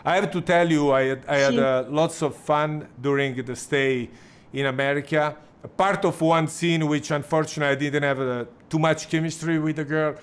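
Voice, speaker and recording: A man's voice: a little high, fairly even intonation, clear, resonant tone. Man hosting a live chat. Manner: broken English phrasing, halting. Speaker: host reading viewer questions.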